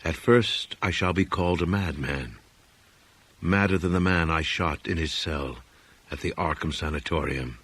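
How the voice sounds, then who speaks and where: Low-pitched man reading a horror tale. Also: fairly bright tone, breathy edge. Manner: measured, solemn, deliberate storytelling. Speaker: audiobook reader. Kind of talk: scripted narration of a horror story.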